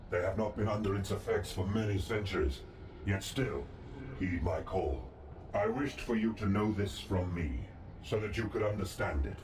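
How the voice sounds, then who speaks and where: Man voicing a deep, echoing, solemn ancient god. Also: noisy background, natural voice. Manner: formal, measured, solemn. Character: ancient male god, regal, calm, calculating. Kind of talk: scripted video game dialogue. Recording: cavernous echo, distant, music and ambience behind.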